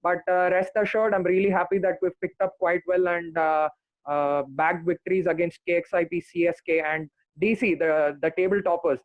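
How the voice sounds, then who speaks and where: A man with a high voice comes through a dry, tinny, phone-like line. He speaks as a podcast guest, earnest and steady, and often stops on "uh" between thoughts. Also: no echo. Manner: earnest, many "uh" pauses. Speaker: podcast guest, cricket fan. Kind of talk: casual podcast chat.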